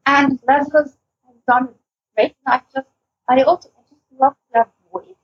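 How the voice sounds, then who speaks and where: A woman chatting casually as a podcast co-host, enthusiastic and speaking in halting phrases. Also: high-pitched, steady tone. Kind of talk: casual podcast chat, recommending audio stories. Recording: muffled, lo-fi voice recording.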